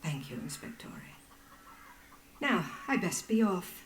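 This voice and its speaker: An actress playing a calculating head matron in a video game, speaking with cool, formal deliberation. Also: female voice, fairly low pitch, big pitch swings, slow, echoey room, whispered. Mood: courteous. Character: poised, calculating female head matron of a powerful order. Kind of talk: video game dramatic dialogue.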